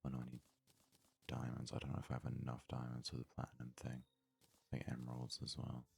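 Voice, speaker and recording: A man giving soft-spoken ASMR game commentary in an unhurried, hesitant way, as if thinking aloud. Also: a bass voice, completely flat delivery, gravelly, breathy edge. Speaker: gaming video host.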